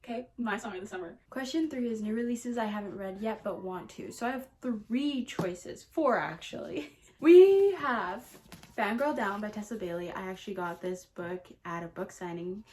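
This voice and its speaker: A woman with a mid-pitched voice talks casually as a book vlogger. She is gushing and emphatic in how she speaks. She often repeats words to press her point. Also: darkish tone. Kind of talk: casual chatty video talk about books.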